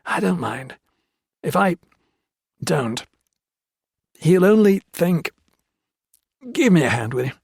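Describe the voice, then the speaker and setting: A man's voice: fairly high pitch, expressive, takes it slowly, vocal fry, pauses often, a little mellow, breathy edge, pitched above the performer's own voice, exaggerated voice. A male narrator voicing a weak, feverish young British prisoner.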